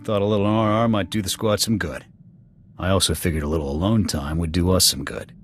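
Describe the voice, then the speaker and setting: Guy doing a gruff army officer for a video game, deep voice, terse, loyal type, bit of music behind. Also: fairly even intonation, brisk, lightly acted. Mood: wry, commanding. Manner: clipped, military, terse. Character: gruff, proud male military sniper officer, loyal but hot-tempered. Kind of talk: video game dialogue acting.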